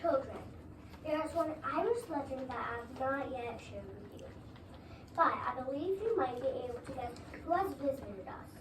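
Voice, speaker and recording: Woman as a very high-voiced, kindly schoolteacher. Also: animated, normal speed. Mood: calm, explaining. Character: kindly woman first-grade teacher addressing her class. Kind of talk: scripted readers' theater. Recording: echoey room, distant mic, background noise.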